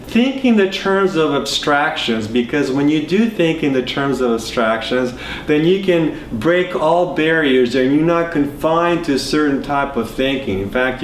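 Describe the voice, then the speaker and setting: Rambling man, roomy sound. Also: medium pitch. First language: Serbo-Croatian. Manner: loose, rambling explanation with many restarts and asides. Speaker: entrepreneur explaining ideas. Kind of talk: whiteboard talk to camera. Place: indoor studio in front of a whiteboard. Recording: roomy echo, faint background hiss.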